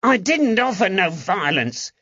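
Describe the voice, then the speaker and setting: A man's voice: high-pitched, sing-song, unhurried, pushed and bright, no room sound, highs cut off, voice pushed up, exaggerated voice. A man voicing a snivelling, indignant Cockney moneylender in an audiobook, whining and pleading defensively. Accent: London Cockney. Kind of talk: audiobook character acting.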